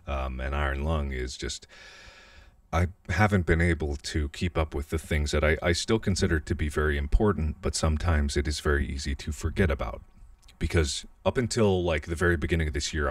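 A man in his thirties chatting casually and quietly in a small bedroom, holding a handheld mic, rambling and self-deprecating. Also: a bass voice, dry recording, studio quality, recorded from a distance. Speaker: YouTuber. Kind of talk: casual vlog chat. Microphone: handheld podcast mic, held awkwardly.

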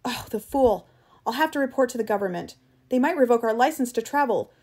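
A hard-bitten man who guides hunts through time, acted by a woman narrator, barking orders in a storybook reading. He sounds angry and accusing, pushed above the narrating voice and louder. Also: mid-range voice, moderate pace, close to an everyday voice. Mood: furious.

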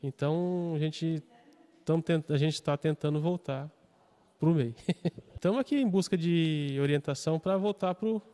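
A man's voice: fairly high pitch, measured pace, dark tone, long reverb tail. A man, an entrepreneur speaking casually in a news interview. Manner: casual, informal speech. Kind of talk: street interview.

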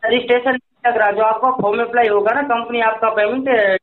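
A man's voice: very high voice, steady tone, fast. A man working as a company phone agent on a sales call, speaking insistently and repeating himself over a telephone. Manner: insistent, repetitive. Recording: tinny, narrowband phone line recording.

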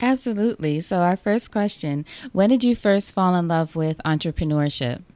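A woman's voice: on the low side, moderate pace, darkish tone, no room sound. A woman hosting a podcast, introducing her guest and asking questions in an encouraging manner. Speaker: podcast host. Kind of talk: podcast interview.

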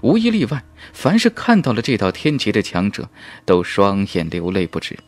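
Low-pitched, animated male audiobook narrator. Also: moderate pace. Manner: dramatic, emphatic storytelling. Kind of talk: dramatic scripted fantasy novel narration. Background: background music.

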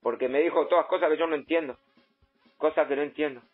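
Guy doing a pushy simple argentine customer, husky n kinda high, keeps repeating himself, muffled phone line. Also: steady tone. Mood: aggrieved. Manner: repetitive, halting, complaining. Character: insistent, aggrieved, simple provincial male customer. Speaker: prank caller. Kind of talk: improvised phone prank. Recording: muffled phone line, faint music behind.

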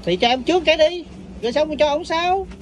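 A man's voice: very high-pitched, quick pace, crowd noise. A man, chatting as a fishing companion and telling how to split the catch. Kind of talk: casual chat. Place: outdoors on a fishing boat deck. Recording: noisy outdoor phone audio.

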